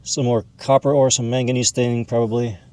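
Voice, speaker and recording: A man's voice: medium pitch, flat delivery, fairly quick, a little mellow, clean tone. A man hosting an informal educational video, explaining and showing things in a folksy, conversational way. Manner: folksy, calls objects "this guy". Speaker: host, mineral hobbyist educator.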